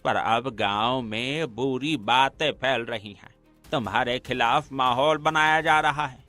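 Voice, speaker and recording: A man's voice: medium pitch, measured pace, bright, forceful tone, faint music behind. A man voicing a grave, caring older uncle in a village cartoon.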